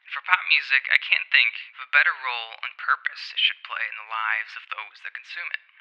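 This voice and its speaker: A man delivers a scripted music column as a voice message. His voice is very bright and crisp, and his phrasing is articulate and essay-like. The recording is a little dull and lo-fi. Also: medium pitch, brisk. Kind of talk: scripted opinion commentary, framed as a voice message.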